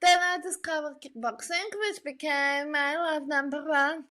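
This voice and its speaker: A woman from Bulgaria speaks as a guest in a relaxed interview. Her voice sits extremely high and her pace is slow. She answers in a measured, thoughtful way. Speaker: podcast guest, illustrator and digital artist. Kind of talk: casual interview chat.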